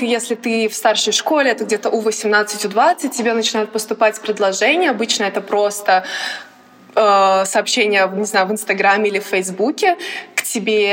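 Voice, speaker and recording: Young woman from Latvia, reverberant. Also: on the high side. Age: young adult. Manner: relaxed, laughs often. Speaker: guest athlete. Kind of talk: casual interview chat.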